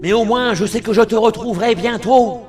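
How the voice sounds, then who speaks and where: Man playing a very high-pitched effeminate young hero. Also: normal speed, over music, close to an everyday voice. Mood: mock solemn. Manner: earnest, prim, mock-solemn. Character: gentle effeminate young male hero with mock-heroic bravado. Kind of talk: anime parody dub acting.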